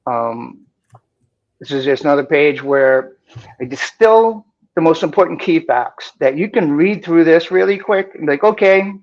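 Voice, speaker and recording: A man from Boston with a faint Boston accent, talking casually and with enthusiasm about software development, with some fillers. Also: fairly high pitch, animated, normal speed, highs cut off. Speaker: guest developer. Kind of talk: technical talk-show chat.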